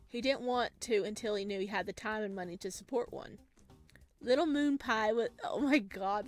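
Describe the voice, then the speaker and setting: A woman streaming a game, reading its story narration aloud with music behind her. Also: mid-range voice.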